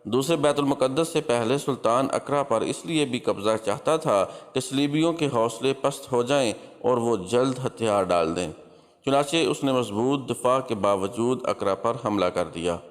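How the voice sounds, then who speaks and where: Solemn male storyteller in long reverb. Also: medium pitch. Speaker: host and storyteller. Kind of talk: scripted historical story narration.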